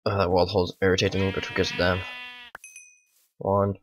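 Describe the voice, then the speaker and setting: A man, hoarse from a bad cold, rambling through casual let's play commentary at home. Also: sits low, completely flat delivery, brisk, muffled recording, background noise. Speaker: gaming channel host.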